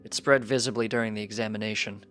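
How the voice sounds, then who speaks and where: A man voicing a frank pony doctor in a story reading, measured, solemn and plain-spoken. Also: lowish voice, steady tone, a bit bright, soft background music, lightly acted. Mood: grave. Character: grave, frank pony doctor delivering bad news.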